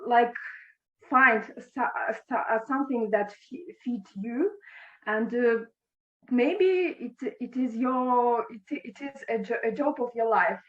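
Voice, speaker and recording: A young woman whose first language is Russian, speaking English as an interview guest, halting and restarting her words. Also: fairly high pitch, a bit bright. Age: young adult. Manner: halting, with frequent restarts and stammers. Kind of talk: video call interview. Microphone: video call. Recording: echoey, dull lo-fi video call.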